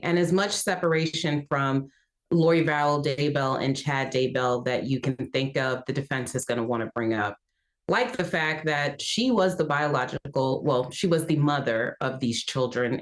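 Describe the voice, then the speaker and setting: A woman's voice: a bass voice, moderate pace. A woman giving assertive, articulate legal commentary as an analyst on a panel. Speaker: legal analyst, defense perspective.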